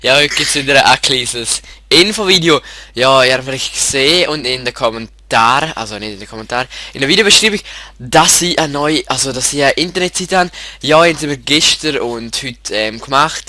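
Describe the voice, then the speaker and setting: A man with a Swiss German accent, congested from a cold, talks in a casual, rambling way, restarting sentences and filling gaps with hesitations. His voice is bright and sharp-edged. The sound is close and dry, with no room echo. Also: mid-range voice. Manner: halting, rambling, many restarts and fillers. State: stuffy with a head cold. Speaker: YouTube channel host. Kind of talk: casual screen-share info video.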